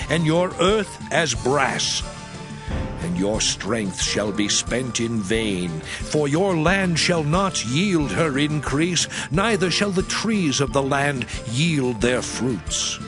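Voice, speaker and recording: A man giving a dramatized Bible reading in a solemn, measured, declamatory manner. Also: a little high. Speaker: scripture narrator. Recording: music under the voice. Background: prominent music.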